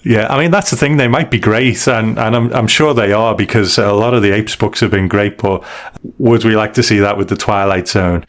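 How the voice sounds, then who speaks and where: Lower-pitched man in casual podcast conversation. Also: a little fast. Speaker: podcast host.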